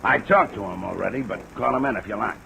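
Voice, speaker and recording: Gravelly old railroad bull. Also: male voice, lowish voice, fast talker, bright, forceful tone, exaggerated voice. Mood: eager, brisk. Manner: folksy, blunt, heavy railroad slang. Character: gruff, slangy veteran railroad detective, aging and eager. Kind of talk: old-time radio drama acting. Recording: noisy, hissy old radio recording.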